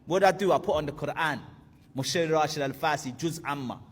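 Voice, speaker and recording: A man's voice: a little high, brisk, breathy edge. A man in his thirties animatedly telling a personal story, acting out the voices of friends and his teacher.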